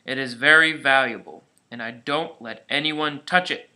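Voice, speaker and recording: A man voicing an old village witch from a storybook, giving deliberate, firm instructions. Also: medium pitch, sharp tone, poor audio.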